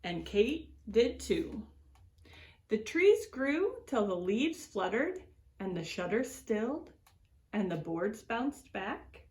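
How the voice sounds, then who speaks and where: Woman for children's read-aloud: unhurried educator, swooping expressive delivery, mid-pitched voice, words stretched for effect. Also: a little hiss or hum. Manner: deliberate, expressive storytelling with drawn-out words and swoops. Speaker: extension educator reading aloud to schoolchildren.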